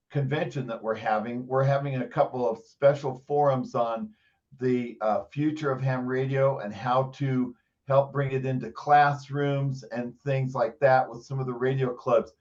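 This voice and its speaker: A man asking questions informally in a meeting, polite and tentative. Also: mid-range voice. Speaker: meeting participant. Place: online video or teleconference. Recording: dull, lo-fi conference call audio.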